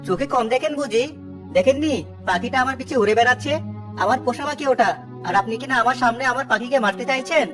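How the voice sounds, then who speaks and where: Woman playing a fast-talking, greedy cartoon villager man. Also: high voice, music in the background, close to an everyday voice. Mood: irritated. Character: greedy, irritable village man, scheming and gullible. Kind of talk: children's cartoon voice acting.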